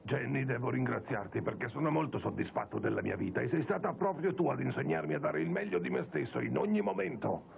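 An actor playing an earnest, shy, awkward young student judoka in a cartoon dub, with a gravelly voice. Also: male voice, mid-range voice, lively intonation, lo-fi sound, slight background noise. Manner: earnest, halting. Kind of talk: Italian anime dub acting.

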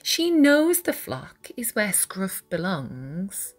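A woman reading a children's picture book aloud with deliberate, dramatic phrasing for young listeners. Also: mid-range voice, huge pitch swings. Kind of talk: expressive read-aloud storytelling. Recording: music behind the voice. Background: music.

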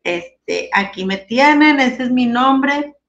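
A woman's voice: high-pitched, lively intonation, slow, a bit bright. A woman in her thirties from Monterrey, Mexico, with a northern Mexican accent, a giggly and hesitant guest on a video call. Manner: giggly, hesitant, many fillers. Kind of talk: casual video-call interview. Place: small room indoors. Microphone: webcam on a video call. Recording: dull lo-fi webcam sound.

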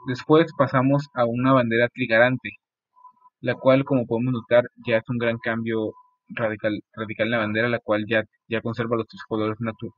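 A young man giving an informal history presentation in a halting way, often repeating his phrases. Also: mid-range voice. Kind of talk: informal school-style history presentation over slides. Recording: muffled, phone-like narow band, dry.

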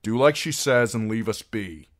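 A man's voice: sits low, a little slow. A man voicing a stubborn, scowling teenage boy in an audiobook, curt, scornful and challenging. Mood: warning.

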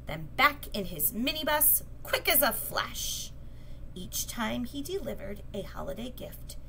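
High, very lively woman reading to children. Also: poor audio. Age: middle-aged. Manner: sing-song, expressive, slow and deliberate delivery for young children. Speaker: storytelling teacher reading aloud. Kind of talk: children's picture book read-aloud. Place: small classroom or office, indoors. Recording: clear background room noise.

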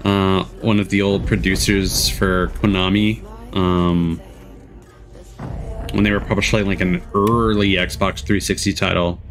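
A man's voice: sits low, level tone, unhurried. A man streaming casual live commentary as he reacts to a games showcase. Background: game trailer music.

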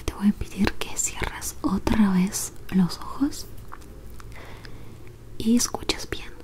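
A young adult woman whispering soothingly in an ASMR medical role play, giving gentle instructions in short phrases, close to the mic in a small room. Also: medium pitch, slow pace. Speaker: ASMR role-player as clinic examiner.

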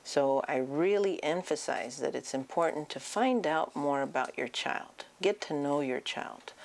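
Middle aged woman w a deep-ish low voice, explaining parenting advice, emphatic, doctor talking to camera on a lapel mic. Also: gravelly, darkish tone. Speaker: child and adolescent psychiatrist giving advice. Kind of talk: informational talk to camera, addressing parents. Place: small indoor office.

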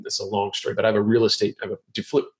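A middle-aged man hosting a podcast, chatting casually and joking, rambling with quick restarts. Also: on the low side, completely flat delivery, breathy edge. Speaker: podcast host. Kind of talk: casual interview chat.

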